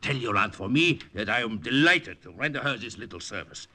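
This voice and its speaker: Casting: courtly, unctuous officer, bright forceful voice, highly animated, old radio drama sound. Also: male voice, fairly quick. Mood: oily, jovial. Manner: unctuous, pompous, lingering over phrases. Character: smug, courtly male Nazi commandant in occupied France. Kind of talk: 1940s radio acting. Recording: noisy old radio broadcast recording.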